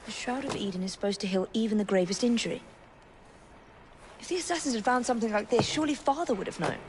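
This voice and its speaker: An actress playing a confident, inquisitive young Victorian adventuress with a direct manner in a video game. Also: female voice, on the high side, fast talker, lightly acted. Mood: curious, determined. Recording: heavy ambient background noise.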